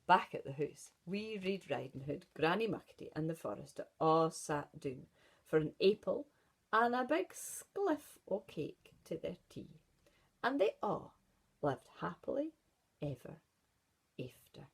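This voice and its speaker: A woman teacher with a Scottish accent reading a storybook aloud to pupils and asking gentle questions. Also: fairly low pitch, very animated, measured pace, creaky, breathy edge. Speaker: primary school teacher.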